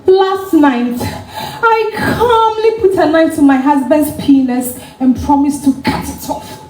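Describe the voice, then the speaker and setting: A woman's voice: high voice, takes it slowly, darkish tone. A woman with a Ghanaian accent acting a defiant, exasperated wife and mother in a dramatized stage reading. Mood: defiant, amused. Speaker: stage actor.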